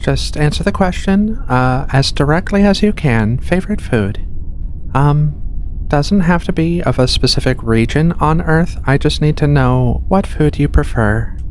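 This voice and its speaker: A woman voicing a patient alien feline researcher in a soft-spoken ASMR roleplay, with hesitant, reassuring questioning over a ship-like ambient rumble. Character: female alien feline researcher, patient, clinical, gently playful. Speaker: medical examiner.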